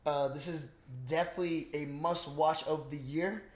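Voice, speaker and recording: A young man co-hosting a podcast, chatting casually and excitably in slangy speech. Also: a little high, normal speed. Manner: slangy, excitable, says bro and dude often. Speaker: podcast co-host. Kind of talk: casual chat about geek movies. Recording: roomy, echoey sound.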